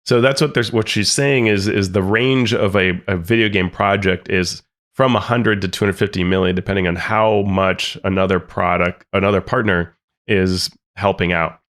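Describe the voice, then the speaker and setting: A man with a fairly low voice talks through a podcast news segment. He reads off figures in a relaxed way and drops in dry, wry remarks between the numbers. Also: moderate pace. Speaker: podcast co-host. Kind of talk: casual news discussion.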